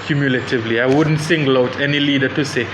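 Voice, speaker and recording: A man's voice: medium pitch, fairly even intonation, quick pace, a crowd in the background. A young man speaking on a panel, thoughtful and hesitant with many restarts. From Saint Vincent and the Grenadines. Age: young adult. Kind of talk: panel discussion. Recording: echoey room with background noise.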